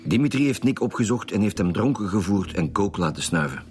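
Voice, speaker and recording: Flemish police chief in a radio drama, real low voice, calm n matter of fact, music behind. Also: male voice, brisk. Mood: calm, businesslike. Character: calm, dogged male police chief inspector. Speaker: actor in a radio serial.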